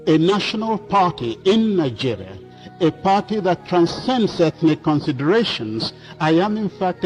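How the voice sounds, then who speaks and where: A man speaks formally and deliberately, landing heavily on single words. His pitch is a bit high and very lively. A faint bed of music plays under him. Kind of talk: formal political speech.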